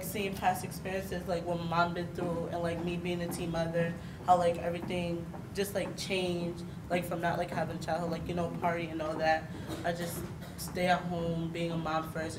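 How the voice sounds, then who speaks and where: A young American woman speaking candidly and informally as a panelist, haltingly, with lots of "like", into a handheld mic in a meeting hall. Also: fairly low pitch, flat delivery. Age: young adult. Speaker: panelist, young mother sharing experience. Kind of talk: candid personal testimony at a panel. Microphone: handheld microphone. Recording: handheld mic, noisy room.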